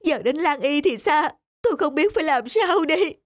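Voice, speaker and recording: A woman's voice: high-pitched, expressive, normal speed, dry recording, studio quality. A woman voicing a distraught old peasant mother in a cartoon fairy tale, pleading and anguished.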